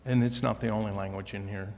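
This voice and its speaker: A man speaking as a council member in formal debate, with deliberate, careful phrasing. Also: lowish voice, fairly even intonation, a little mellow. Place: indoor council chamber. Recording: reverberant meeting chamber with background noise.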